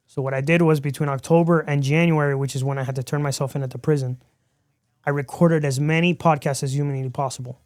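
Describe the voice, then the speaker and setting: A man's voice: fairly high pitch, quick pace, no echo, studio quality. A man from Miami, a podcast guest, telling his story in a casual interview and acting out quoted dialogue as he goes. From Miami, Florida. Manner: animated storyteller who acts out quoted dialogue.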